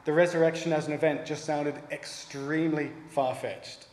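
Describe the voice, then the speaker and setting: A man's voice: fairly high pitch, fairly even intonation, moderate pace, darkish tone. A man with a New Zealand accent giving a prepared personal testimony at a church, in a plain, wry, self-deprecating way. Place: church hall. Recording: echoey hall with long reverb and faint ambience.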